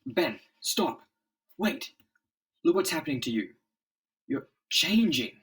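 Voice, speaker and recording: A man's voice: sits high, wildly swooping pitch, takes it slowly, gravelly, fairly bright tone, voice pushed up, full character voice. A man voicing an earnest, commanding scientist hero in a melodramatic comic-book reading. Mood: exasperated.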